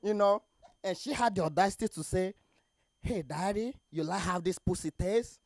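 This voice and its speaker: A man's voice: sits high, moderate pace, vocal fry, darkish tone, dry recording, clean studio sound. A man doing a crude stand-up set, often laughing at his own jokes. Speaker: stand-up comedian. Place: comedy club stage.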